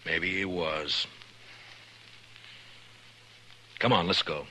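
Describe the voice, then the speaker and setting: Male actor as stern western lawman, American, fairly low, firm, old radio recording thick with noise. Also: fairly even intonation, moderate pace, natural voice. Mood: weary, quiet. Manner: terse, firm. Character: stern, authoritative frontier lawman. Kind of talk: 1950s radio western acting.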